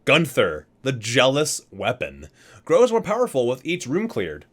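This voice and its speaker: A man's voice: mid-range voice, wildly swooping pitch, a little slow. A man reading aloud in an ASMR style with casual asides, stumbling over words and correcting himself as he goes. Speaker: ASMR video creator reading a game guidebook.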